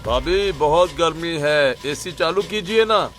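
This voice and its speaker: A man's voice: a little high, animated, measured pace, a bit bright, faint music behind. A man voicing a fat, gluttonous rich son-in-law in a cartoon story, haughty and complaining. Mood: grumbling. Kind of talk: Hindi cartoon story dialogue.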